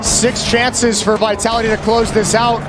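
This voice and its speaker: A man giving excited, emphatic live play-by-play commentary on an esports match. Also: very high voice, normal speed, over music.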